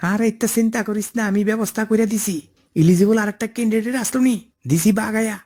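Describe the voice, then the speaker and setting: A man's voice: high voice, steady tone, fast, darkish tone. A man voicing a scheming, cocksure office clerk in a comic cartoon, pushy and wheedling, with an eastern Bengali dialect. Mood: cocky, scheming.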